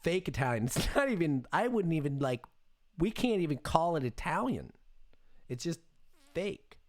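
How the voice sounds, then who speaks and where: A man's voice: on the high side, sing-song, moderate pace. An American man in his thirties giving casual, off-the-cuff commentary on the news as a streamer, irreverent, sarcastic and freely swearing. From United States. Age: 30s. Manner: irreverent, sarcastic, profanity-laced.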